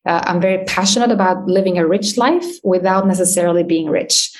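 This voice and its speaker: A woman's voice: lowish voice, brisk. A woman in her thirties, raised in Albania, speaking as a guest in a podcast interview, with frequent fillers and light laughs. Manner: warm, many fillers, laughs while talking. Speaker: guest, startup founder. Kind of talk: interview conversation.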